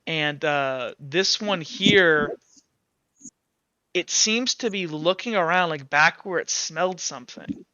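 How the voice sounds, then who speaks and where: Bright, higher-voiced man narrating a tabletop game. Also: takes it slowly, smooth, dry recording. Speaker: game master. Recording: dull lo-fi audio with background music.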